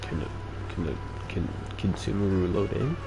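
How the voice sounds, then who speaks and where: Low, creaky-voiced man commenting on gameplay. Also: animated, moderate pace, music in the background. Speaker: game streamer. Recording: noisy, game audio behind.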